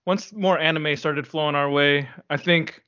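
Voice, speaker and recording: Male co-host from the US, somewhat higher voice, easygoing and wry, dull lo-fi sound. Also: measured pace. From United States. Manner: laid-back, wry, deadpan quips. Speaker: podcast co-host. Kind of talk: casual podcast chat.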